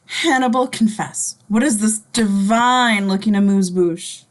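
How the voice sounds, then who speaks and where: A woman's voice: medium pitch, unhurried, close to an everyday voice. A woman playing an elegant, politely curious symphony board member in a screenplay table read over a video call. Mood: teasing.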